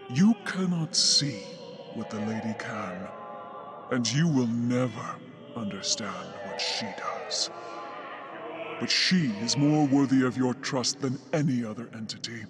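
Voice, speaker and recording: A man's voice: mid-range voice, lively intonation, unhurried, over music, lightly acted. Man acting a zealous, swooping cult herald. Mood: stern, devout. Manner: grand, zealous, preaching oratory. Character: fanatical male cult herald preaching devotion to his lady. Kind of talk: dramatic fantasy game voice acting.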